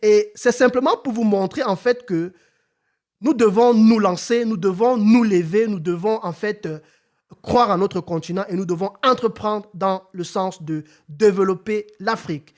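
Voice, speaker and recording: A man's voice: high voice, normal speed. A man hosting a casual podcast interview, often stumbling over repeated words and filler phrases, now and then rising into an emphatic rallying tone. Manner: hesitant, repeats words and says "en fait" often. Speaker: podcast host.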